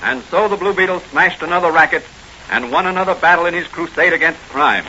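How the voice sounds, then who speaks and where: A man's voice: on the high side, fairly quick, over music. A man with an American accent giving an emphatic, declamatory scripted narration as a 1940s radio announcer. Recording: muffled old radio recording.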